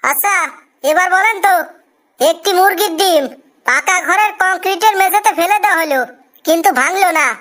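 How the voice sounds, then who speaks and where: Very high-pitched, grumbling Bangladeshi cartoon boss. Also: female voice, moderate pace, fairly bright tone. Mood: pleased, approving. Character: weary, grumbling cartoon job interviewer, easily impressed. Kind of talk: comic cartoon dialogue.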